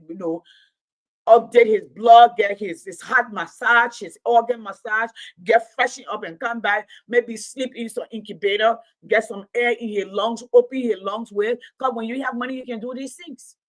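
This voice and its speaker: A woman's voice: on the high side, rough recording. A woman in her late fifties giving impassioned livestream commentary, preaching in repeated rhetorical build-ups. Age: 50s. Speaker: commentator and Pan-Africanism teacher.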